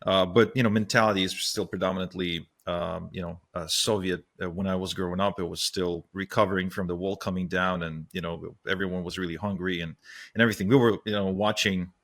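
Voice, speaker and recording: A man's voice: sits low, steady tone, a little fast, fairly bright tone. A man in his thirties from Ukraine, raised in Israel, hosting a casual interview in a chatty way. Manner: chatty, many fillers and restarts. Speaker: podcast host.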